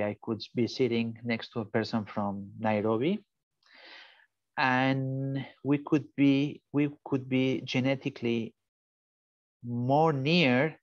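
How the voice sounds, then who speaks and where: A man's voice: medium pitch, dry recording. A man from Spain with a strong Spanish accent, giving an earnest online lecture and sometimes searching for the right English word. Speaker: invited speaker. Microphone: video call. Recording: muffled, dry call audio.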